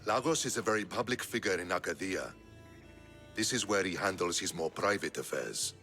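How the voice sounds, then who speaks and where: A principled Spartan commander with a low voice and a lively, rising and falling delivery. He argues calmly for caution. Game music is clearly heard under him. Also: moderate pace, full character voice. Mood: measured. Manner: calm, persuasive. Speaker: Spartan general.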